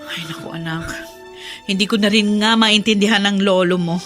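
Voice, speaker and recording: A woman's voice: mid-range voice, fairly even intonation, moderate pace, fairly bright tone, faint music behind, close to an everyday voice. A woman playing a weary, exasperated middle-aged mother in a radio drama. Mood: concerned, weary.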